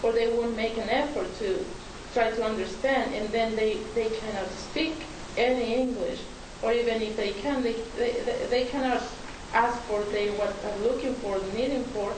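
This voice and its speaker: A woman's voice: a little high, moderate pace. A woman from Mexico with a Mexican Spanish accent gives an earnest, hesitant forum presentation with many restarts. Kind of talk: public talk at a forum. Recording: echoey hall, distant mic, hiss.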